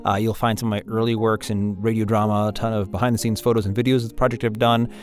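A man's voice: fairly low pitch, little pitch movement, fast, soft background music. A man who hosts an audio drama podcast, presenting credits and announcements to listeners. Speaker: host and audio drama producer.

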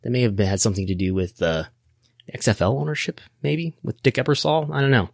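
A man's voice: medium pitch, quick pace, vocal fry, no room sound, clean studio sound. An American man hosting a podcast, giving casual explanatory business commentary in a wry, nerdy way, often thinking aloud. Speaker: podcast host.

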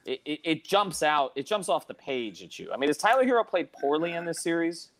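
A man's voice: on the high side, very animated, a bit bright. A man hosting an unscripted sports panel, often repeating words as he works toward his point. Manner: halting, repeats words as he thinks. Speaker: host of a sports panel show.

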